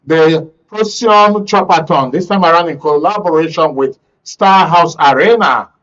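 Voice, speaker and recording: Higher-voiced Nigerian male talk show host, dull audio. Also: measured pace, a bit bright. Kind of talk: current affairs panel moderation.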